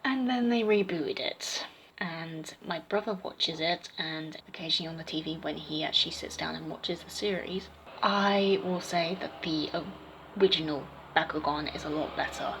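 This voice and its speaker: A young woman giving her opinions in a casual vlog, rambling with fillers and restarts, her voice slightly croaky. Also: low voice, normal speed, fairly bright tone, a little hiss or hum, low-quality recording. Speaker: vlogger.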